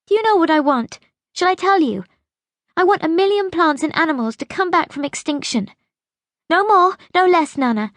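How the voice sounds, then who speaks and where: Woman performing a bitter young girl, high voice, insistent, accusing, audiobook character, dry close sound. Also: expressive, moderate pace, fairly bright tone, no echo. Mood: angry, insistent. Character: bitter, demanding young girl pleading with her great-grandmother.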